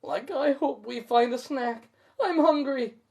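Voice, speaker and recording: A man voicing a hungry, cowardly, goofy young slacker in a drawling, excitable storybook cartoon voice. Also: extremely high pitch, measured pace, creaky, pitched above the performer's own voice, heavily acted. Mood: hungry, eager. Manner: drawling, whiny, excitable, peppered with "like". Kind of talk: cartoon voice in live storybook reading.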